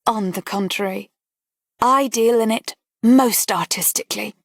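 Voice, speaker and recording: A woman's voice: mid-range voice, a little slow, creaky, dry recording, studio quality, voice pushed up. A woman voicing a scornful aristocratic lady who speaks in a languid, cutting, mocking way. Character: scornful, bored aristocratic lady breaking an engagement.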